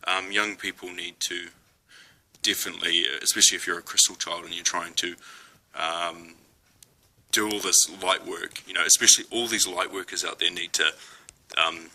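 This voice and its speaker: A man's voice: low-pitched, steady tone, normal speed, gravelly, pushed and bright, rough recording. A young man with a New Zealand accent talks casually to camera, hesitant, with many ums and restarts. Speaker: spiritual vlogger.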